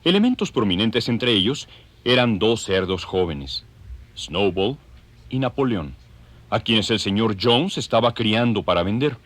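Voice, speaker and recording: A man's voice: fairly low pitch, lively intonation, unhurried, a bit bright, dry recording. A man narrating an audio drama in a scripted, dramatic storytelling style.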